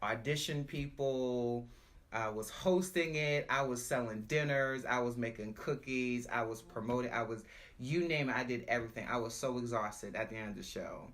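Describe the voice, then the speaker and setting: A woman who founded and directs an arts nonprofit, telling her story in an emphatic, expressive way in an interview. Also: rumbling low, moderate pace. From United States.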